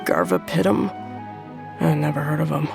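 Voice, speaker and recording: Laid-back gravelly villager, chatty and friendly. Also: male voice, fairly high pitch, fairly even intonation, moderate pace, over music. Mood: easygoing. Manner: easygoing and chatty. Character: relaxed returning villager, easygoing and kind. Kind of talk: game voice acting.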